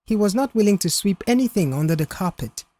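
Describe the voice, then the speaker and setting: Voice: mid-range voice, animated, fairly quick, warm tone, dry recording. An audiobook narrator reading a scripted story in a dramatic storytelling style.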